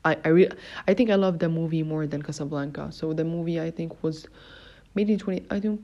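A woman's voice: sits low, a little fast. A young adult woman from Morocco hosting a solo podcast, chatting casually with many fillers and self-corrections. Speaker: podcast host. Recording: dull lo-fi recording.

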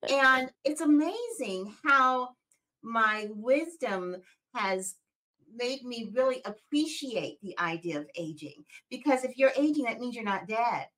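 A woman in her sixties hosting a podcast, conversational and animated, often saying "you know". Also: on the high side, measured pace. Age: 60s. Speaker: podcast host. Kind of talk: casual interview chat.

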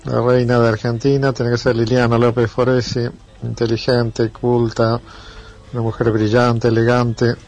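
Guy leaving an earnest voice note, super flat monotone. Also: medium pitch, normal speed. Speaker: listener sending a voice message. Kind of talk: casual voice message.

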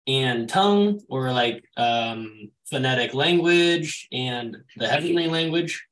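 A man with a medium-pitched voice speaks as a participant in a religious class. He puts his question casually and informally. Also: lively intonation, takes it slowly, a bit bright, low-quality recording.

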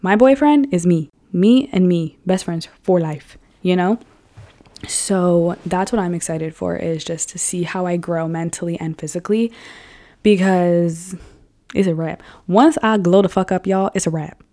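A woman's voice: lowish voice, measured pace, dark tone. A woman in her early twenties talking casually and frankly to her viewers, swearing freely and filling her talk with "like.". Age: 20s. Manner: chatty, frank and profane, lots of "like". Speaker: vlogger.

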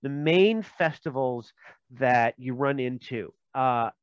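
A man's voice: medium pitch, takes it slowly, clean tone, dry recording, dull audio. A man from Minnesota, a game designer, talking casually in an interview with many fillers and restarts and joking asides.

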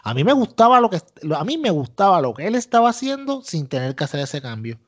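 A Puerto Rican man with a fairly high voice, recorded in dull lo-fi audio. He co-hosts a podcast with emphatic, opinionated commentary, repeating himself for stress and slipping English phrases into casual Spanish. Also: big pitch swings, fast. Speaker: wrestling podcast co-host.

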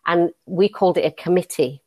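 Woman, Northern English accent, middle-aged, deep voice, dry close sound, chatty interview guest. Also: steady tone, a little fast, no room sound. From Leeds, England. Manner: warm storytelling, many fillers and restarts. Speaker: guest, money advisor. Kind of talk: conversational interview.

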